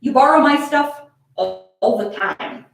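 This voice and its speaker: A weary, sensible woman, read out by a woman storyteller, exasperated and hesitant with lively intonation. The story dialogue rings in a very echoey room. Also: fairly high pitch, unhurried, gravelly, soft background music. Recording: very echoey room, distant from mic.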